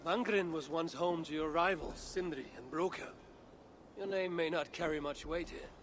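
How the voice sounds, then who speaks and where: An actor playing a weathered, wary Norse god-warrior, gravelly, grave and measured, in a dramatic video game cutscene. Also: male voice, fairly high pitch, slight background noise, lightly acted. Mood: commanding.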